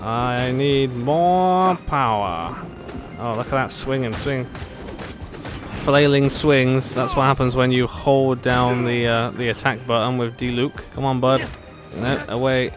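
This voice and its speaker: Jokey male gamer, medium pitch. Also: takes it slowly, music in the background. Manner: jokey, sarcastic, playful. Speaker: gaming video host. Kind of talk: casual gameplay commentary.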